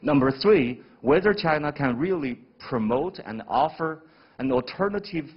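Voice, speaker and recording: A man's voice: fairly high pitch, lively intonation, moderate pace, husky, muffled recording. Man lecturing in numbered points. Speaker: professor, panel guest. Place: TV studio.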